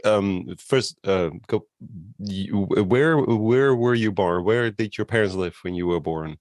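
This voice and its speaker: A man's voice: fairly low pitch, unhurried, a little mellow, dry recording, clean studio sound. A man hosting a casual interview, asking rambling questions with many restarts and offering encouraging "yeah" and "mm-hmm" as he listens. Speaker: interviewer, host.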